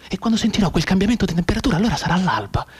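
Husky bedridden soldier, intense. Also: male voice, high voice, very animated, racing, heavily acted. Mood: anxious, determined. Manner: intense, soliloquising, dropping into whispers. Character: bedridden wounded young soldier, feverishly counting time. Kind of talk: theatrical radio drama monologue.

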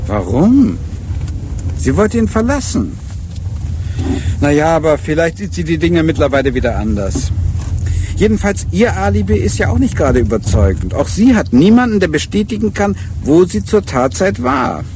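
An actor playing a courteous, shrewd police inspector in a German radio crime drama, speaking in a measured, reasoning way. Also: male voice, on the high side, very animated, noisy background. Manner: measured, polite, reasoning aloud.

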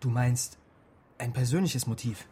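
An actor playing an earnest, eager young police investigator in a naturalistic radio drama. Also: male voice, mid-range voice, unhurried, very noisy, lightly acted. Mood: thoughtful, speculating. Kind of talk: naturalistic radio play dialogue.

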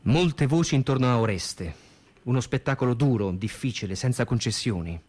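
A man reading scripted radio theatre narration in an expressive, deliberate delivery. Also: mid-range voice, breathy edge. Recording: music under the voice. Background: music.

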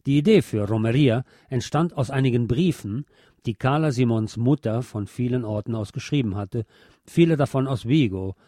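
Mellow-voiced male film critic. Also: mid-range voice, normal speed, no room sound, studio quality. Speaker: radio show host reviewing films. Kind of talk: film review, semi-scripted presentation.

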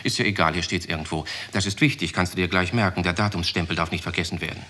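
An actor playing a fussy, officious and eager records clerk in a 1960s radio play. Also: male voice, sits low, fairly even intonation, fast, bright, forceful tone. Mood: pedantic. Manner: matter-of-fact, fussy, officious. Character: brisk, pedantic, eager male records clerk.

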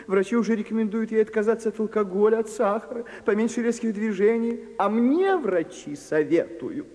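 A man's voice: high-pitched, lively intonation, fairly quick, a little mellow. An actor in a radio play putting on the voice of a cold, scornful mother who mocks her grown daughter in a theatrical manner. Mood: disdainful. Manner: mocking, theatrical, sweeping swings of tone. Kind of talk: 1980s radio drama acting. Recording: long echoey reverb.

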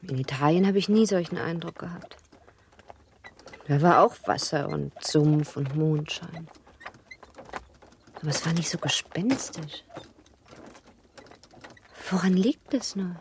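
An actress playing a curious, sensitive young aristocratic bride in a German radio play, with a wondering, softly expressive and at times breathy delivery. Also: female voice, low-pitched, slow pace, pauses often, slight background noise. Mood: uneasy, wondering.

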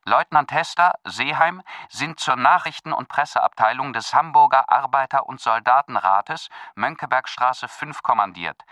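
A sharp, forceful male official played by an actor, recorded very dry. He reads a military telegram in clipped radio-play style, at a middle pitch. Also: normal speed, few pauses, no room sound, natural voice. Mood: official, impersonal.

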